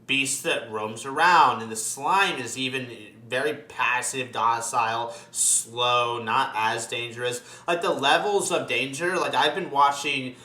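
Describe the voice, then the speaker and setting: Bright-voiced man in casual gaming chat. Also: mid-range voice, measured pace, few pauses, echoey room. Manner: profane, slangy. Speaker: host. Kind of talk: casual gaming chat, ranking game monsters.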